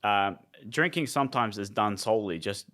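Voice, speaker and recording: A young man from Iraq co-hosts a relaxed podcast. His voice is on the low side, and he explains things in a conversational way, with plenty of fillers. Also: moderate pace. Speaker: podcast co-host, nutrition coach. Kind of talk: casual podcast chat.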